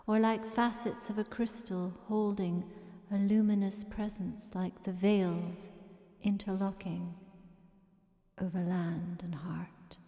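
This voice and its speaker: A woman reading poetic narration in a gentle, solemn, meditative manner, measured and pausing between phrases. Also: medium pitch, flat delivery. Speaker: poet reading own work. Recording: long echoing reverb with music behind. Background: music.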